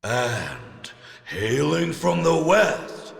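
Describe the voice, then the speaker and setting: An actor playing a bombastic, grandiose arena fight announcer, bellowing drawn-out theatrical introductions in an audiobook drama. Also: male voice, on the high side, wildly swooping pitch, creaky, heavily acted. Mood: rousing, bellowing. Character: bombastic male arena announcer hyping gladiators, grandiose and booming. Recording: echoing arena-like reverb.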